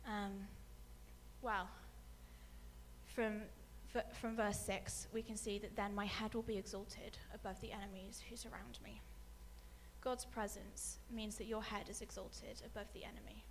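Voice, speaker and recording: A woman preaching a sermon, speaking softly with many ums and letting her phrases fade away quietly. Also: medium pitch, flat delivery, measured pace, a little hiss or hum. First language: not English. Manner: soft-spoken, many ums, trails off quietly at phrase ends. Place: church hall with a screen.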